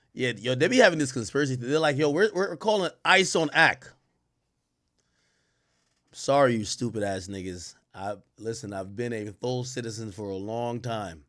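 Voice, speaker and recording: A man's voice: mid-range voice, animated, moderate pace, a bit bright. Rambling, profane male livestreamer. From Jamaica. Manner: loose, rambling, profane, heavy slang. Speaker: livestream host. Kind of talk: casual livestream commentary to chat. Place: indoor home streaming room. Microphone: headset.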